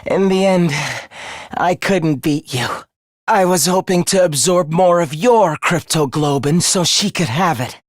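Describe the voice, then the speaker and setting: A man's voice: very high voice, sing-song, a little slow, a bit bright, no room sound, studio quality, heavily acted. An actor voicing a scornful, zealous young male video game villain who despises humans, with a theatrical, contemptuous delivery. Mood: subdued, bitter. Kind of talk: video game character voice acting.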